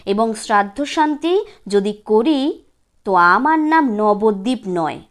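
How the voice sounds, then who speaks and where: A woman's voice: mid-range voice, expressive, takes it slowly. A woman voicing a spiteful young man in a storybook, boastful and threatening in formal words. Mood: vengeful. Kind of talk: storybook character voice.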